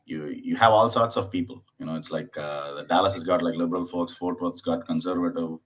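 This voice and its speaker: A man from southern India in his thirties, talking as a comedian guest on a casual podcast. His voice sits very low, and he speaks haltingly, circling back with fillers and restarts as he tells stories. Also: steady tone. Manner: acts out his mother's voice in anecdotes.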